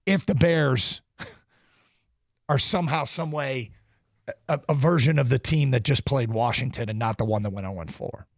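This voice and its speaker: A man hosting sports radio in casual chat, animated and quick to laugh. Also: medium pitch, dry recording, clean studio sound. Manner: animated, restarts often, laughs. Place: radio studio.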